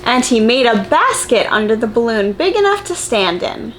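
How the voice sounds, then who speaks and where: Lively, high-voiced woman reading to children. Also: normal speed, slight background noise, low-quality recording. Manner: expressive, encouraging, simple preschool-teacher delivery with gasps and exclamations. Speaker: preschool teacher reading picture books aloud. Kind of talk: children's story read-aloud with questions to young viewers.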